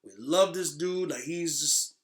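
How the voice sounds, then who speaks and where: A man co-hosting a podcast, chatting casually in an emphatic, slangy, opinionated way like an excited fan. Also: on the high side, a little slow, echoey room. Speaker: podcast co-host. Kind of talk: casual chat about games and movies.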